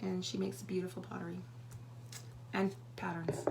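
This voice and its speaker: A woman co-hosting a casual podcast chat, hoarse today, with a husky, scratchy voice, chatty and rambling, with many fillers. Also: lowish voice, little pitch movement, moderate pace, a little mellow. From Canada. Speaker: podcast co-host.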